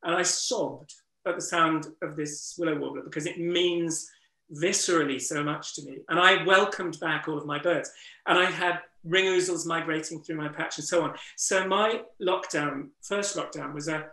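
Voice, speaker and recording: A man with a fairly high, bright, ringing voice talks earnestly and reflectively over a video call, his voice a little strained from disuse. Room echo hangs around his words. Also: steady tone, moderate pace. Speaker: interviewer and wildlife trust ambassador. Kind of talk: webinar conversation. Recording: roomy echo.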